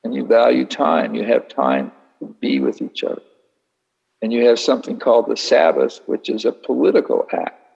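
Gravelly, husky man musing to an audience. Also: mid-range voice, animated, normal speed, darkish tone, highs cut off. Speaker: speaker leading a Q&A session. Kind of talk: reflective talk answering an audience.

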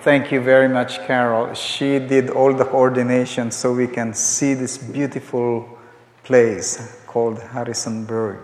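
A man's voice: medium pitch, level tone, measured pace. An older man preaching a sermon with stories and humorous asides. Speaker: guest preacher. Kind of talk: sermon with storytelling. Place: church sanctuary. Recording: echoey church hall.

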